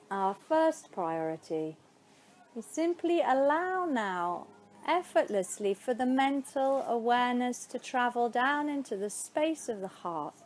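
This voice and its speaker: A woman teaching yoga nidra, guiding a meditation in a soothing, unhurried way with spacious pauses between phrases. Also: high-pitched. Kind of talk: guided meditation.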